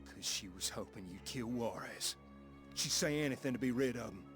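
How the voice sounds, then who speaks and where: Western game role: male actor as skeptical younger gunslinger, medium pitch, folksy, confrontational, light music behind. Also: lively intonation, moderate pace, close to an everyday voice. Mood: defiant. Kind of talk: dramatic video game cutscene acting.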